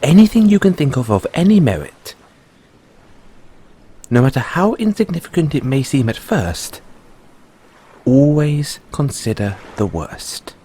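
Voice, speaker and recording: A man playing a proud, secretive merman prince in an audio roleplay, speaking formally and deliberately, courteous but wary of humans. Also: fairly high pitch, big pitch swings, dark tone, slight background noise. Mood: businesslike, courteous. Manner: formal, deliberate, measured phrasing with dramatic pauses. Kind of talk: scripted audio roleplay addressed to the listener.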